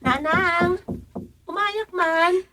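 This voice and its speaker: Very high-pitched, worried Filipino daughter. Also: female voice, very slow, sharp tone, soft background music, full character voice. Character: worried young daughter of a village family. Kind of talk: Filipino radio serial drama acting.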